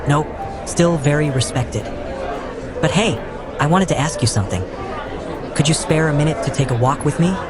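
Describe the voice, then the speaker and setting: A man's voice: fairly high pitch, expressive, normal speed, pauses often, over loud music, a loud crowd, lightly acted. A man playing a cheeky, slightly awkward young wizard who talks informally in a fan audio drama. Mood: breezy. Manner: informal, conversational.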